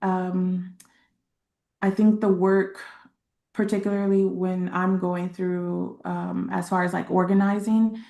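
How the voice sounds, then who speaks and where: A woman from Texas, a community organizer speaking on a webinar panel in a halting way, with many fillers and pauses. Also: lowish voice, level tone, vocal fry, mellow, roomy sound. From San Antonio, Texas.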